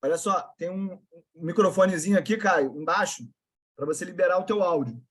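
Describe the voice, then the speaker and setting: A man with a Carioca accent runs a live video call for his investment students. His voice sits high for a man. He talks in a brotherly, slangy way, cheering people on. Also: brisk, fairly bright tone. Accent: Carioca Portuguese.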